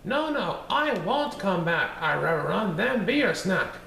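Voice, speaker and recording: A man voicing a cocky little male gingerbread cookie with a high, taunting singsong. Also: very animated, unhurried, gravelly, pushed and bright, echoey room, slight background noise, full character voice.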